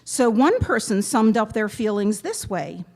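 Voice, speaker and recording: A woman giving a prepared memorial tribute to a gathering, speaking in measured, deliberate phrases with pointed pauses. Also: medium pitch, big pitch swings, a little mellow. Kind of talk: prepared eulogy read aloud to a gathering.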